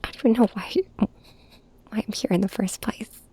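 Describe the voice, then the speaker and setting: A woman playing a heartbroken young superhero in an intimate audio drama, speaking softly and haltingly with a breathy voice. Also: mid-range voice, steady tone, pitched above the performer's own voice. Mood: upset, tearful. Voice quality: soft, breathy. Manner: hesitant, halting, hushed. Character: heartbroken young flying superhero confiding in and snapping at nemesis. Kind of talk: first-person audio drama narration, ASMR hybrid.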